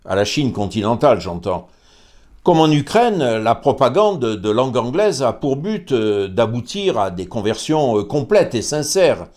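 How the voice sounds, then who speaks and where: Male host reading his own commentary: measured, pointed, with pauses and hesitations. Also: medium pitch, big pitch swings, darkish tone. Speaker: host presenting a commentary series. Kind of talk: scripted commentary read aloud.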